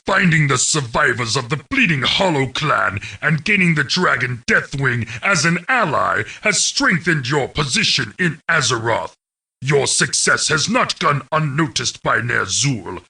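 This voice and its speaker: A menacing orc narrates a fantasy game campaign with grave authority. The voice is hard-edged and forceful, set a little back from the microphone. The delivery is commanding, deliberate and dramatic, with a gravelly grain throughout. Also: male voice, mid-range voice, far from the mic, exaggerated voice.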